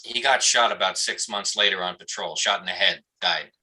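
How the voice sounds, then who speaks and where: A man's voice: low voice, normal speed, sharp tone, slightly rough, full character voice, poor audio. A man playing a defensive, foul-mouthed cop and army veteran in a live play reading, speaking bluntly and evasively. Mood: somber.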